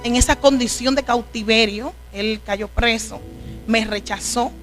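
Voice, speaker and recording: A woman's voice: a little high, measured pace, fairly bright tone, faint music behind. A woman from the Dominican Republic with a Dominican accent, a preacher telling her life story in an interview, earnest and emotional. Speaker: guest, preacher. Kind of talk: testimony interview.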